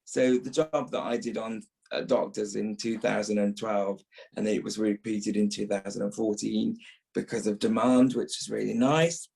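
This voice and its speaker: Gravelly man reflecting in an interview. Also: lowish voice, steady tone, moderate pace, darkish tone. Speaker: actor being interviewed. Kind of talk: reflective podcast interview talk.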